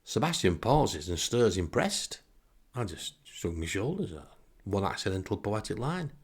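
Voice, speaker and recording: Male podcast storyteller, Northern English, lowish creaky voice, sarcastic and wry, comic anecdotes. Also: sing-song, unhurried. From northern England. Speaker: podcast host. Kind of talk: comic first-person storytelling monologue.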